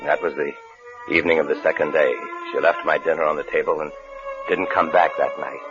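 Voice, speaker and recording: An American man, an actor playing a paranoid husband in hiding, in halting, haunted 1950s radio suspense style. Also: extremely low pitch, fairly even intonation. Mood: anxious, bewildered. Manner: haunted, halting, repeating phrases. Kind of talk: 1950s radio suspense acting, first-person narration and dialogue. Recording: muffled old radio broadcast with music.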